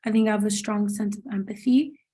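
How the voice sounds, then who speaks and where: A woman's voice: medium pitch, steady tone, a little fast, warm tone, breathy edge. A young woman, a student panelist, giving a thoughtful answer in her own words.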